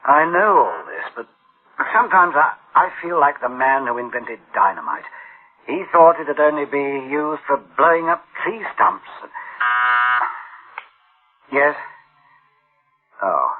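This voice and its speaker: An actor playing an uneasy, conscience-stricken boss in a radio drama, grave and halting as he broods. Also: medium pitch, big pitch swings, phone-quality sound. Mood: anxious, brooding. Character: uneasy, conscience-stricken male boss dreading his machines. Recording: band-limited old radio drama sound.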